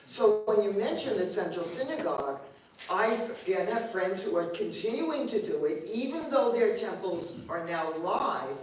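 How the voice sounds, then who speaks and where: A woman's voice: mid-range voice, animated, normal speed. A woman congregant asking a long, roundabout question and circling her point with examples. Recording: echoey room, mic far off, faint noise.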